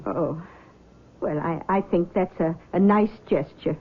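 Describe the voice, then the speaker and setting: A woman's voice: fairly low pitch, unhurried, husky. An actress playing a controlling, anxious middle-aged mother, speaking in a coaxing, fretful way in old-time radio drama style. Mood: fretful, defensive. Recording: dull old radio recording.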